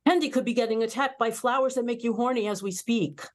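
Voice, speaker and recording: A woman's voice: on the high side, fast, natural voice. Woman playing a sarcastic young female officer. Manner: sardonic, blunt. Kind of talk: amateur table read of animated sci-fi comedy script.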